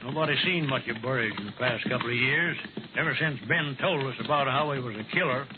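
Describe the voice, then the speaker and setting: An actor playing a shrewd old frontier rancher in a radio western, folksy, dry and unhurried. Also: male voice, medium pitch, phone-quality sound, natural voice. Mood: wry, musing. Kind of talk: 1950s radio western acting. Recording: noisy, thin vintage radio recording.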